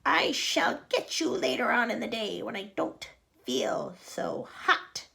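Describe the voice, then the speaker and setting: A woman's voice: on the high side, big pitch swings, slow, gravelly, pushed and bright, heavily acted. A woman voicing a spiteful old aunt in a storybook reading, sneering and snappish. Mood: shrieking.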